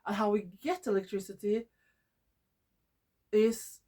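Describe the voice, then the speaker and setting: A woman's voice: medium pitch, measured pace, warm tone. Norwegian woman vlogging, hesitant, many fillers. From Norway. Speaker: environmental vlogger. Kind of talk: casual talk to camera. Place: living room at home.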